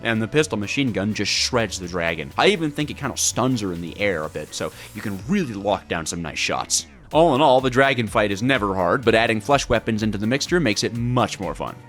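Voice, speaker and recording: A man narrates a gaming video in a casual, scripted way. His voice is on the low side and very lively, with a playful taste for drama. Faint music plays underneath. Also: fairly quick, few pauses.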